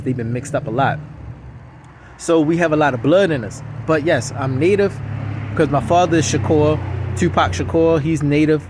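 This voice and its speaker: A man's voice: a little high, darkish tone. A man with a slightly raised voice rambles on insistently in a casual vlog, circling back to the same points again and again. He is recorded outdoors on a city street, with faint traffic noise underneath. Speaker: vlogger. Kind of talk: casual rambling monologue to camera.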